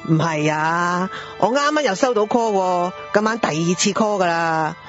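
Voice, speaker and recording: A man's voice: high-pitched, lively intonation, normal speed, fairly bright tone. A man with a Hong Kong accent playing a harried, grumbling father in a radio drama. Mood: exasperated. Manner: sighing, exasperated. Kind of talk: Cantonese radio drama acting. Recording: dull lo-fi audio with background music.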